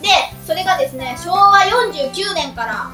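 An excitable, giggly woman with a youthful, girlish voice, hosting a radio talk show with high energy. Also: moderate pace. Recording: roomy, distant mic, music behind.

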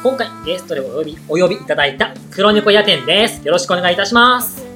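A woman's voice: on the low side, lively intonation, quick pace, fairly bright tone. A woman guest in a casual radio chat, making dry, teasing quips. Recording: background music under the talk. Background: music.

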